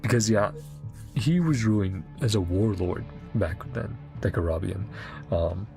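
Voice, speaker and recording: A woman's voice: extremely low pitch, measured pace, vocal fry. A woman giving casual reaction commentary over a video game, musing aloud and trailing off mid-thought, speaking into a close mic. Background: game music.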